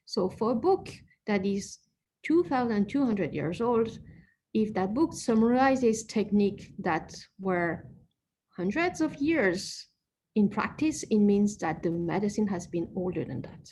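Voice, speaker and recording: A woman's voice: medium pitch, animated, measured pace, darkish tone, a little hiss or hum, rough recording. A woman acupuncturist giving an informal online talk, explaining patiently with frequent fillers and self-corrections. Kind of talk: informal lecture with slides.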